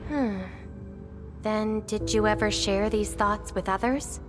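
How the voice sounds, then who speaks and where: A woman's voice: mid-range voice, steady tone, slow, music in the background, close to an everyday voice. Woman playing a cool, wry young swordswoman. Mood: gentle, reflective. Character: aloof young woman swordfighter, teasing, secretly melancholy amnesiac. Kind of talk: video game voice acting.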